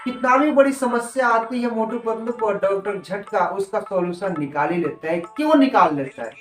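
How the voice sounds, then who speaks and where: High-voiced Indian man, roomy distant recording. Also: animated, normal speed. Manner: emphatic, repeats points for effect. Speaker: motivational video presenter. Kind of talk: informal motivational talk. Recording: roomy, distant mic with music. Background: music.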